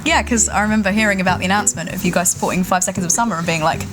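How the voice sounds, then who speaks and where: A woman's voice: medium pitch, racing, low-quality recording. A woman interviewing casually for radio, in an enthusiastic, informal way. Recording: music playing behind the voice.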